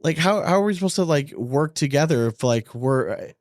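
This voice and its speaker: A man's voice: a little high, animated, normal speed, darkish tone, no echo, studio quality. A man co-hosting a sports podcast in a casual, rambling, informal way, with some swearing. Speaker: podcast co-host.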